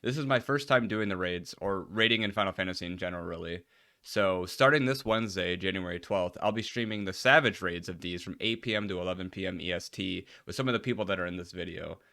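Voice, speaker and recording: A man's voice: low voice, fast talker, pushed and bright. A man in his thirties chatting casually as he streams a game, informal and slangy with free swearing. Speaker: streamer playing an online raid game. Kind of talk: casual gaming chat and commentary. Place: small room at home. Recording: game music behind the voice.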